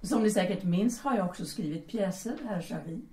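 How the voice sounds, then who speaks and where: A woman's voice: mid-range voice, mellow, far from the mic, close to an everyday voice. A woman playing a frank, self-possessed and provocative writer in a period audio drama, with a teasing, direct manner. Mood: confiding. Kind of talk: Swedish audio drama acting. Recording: echoey room, off the mic.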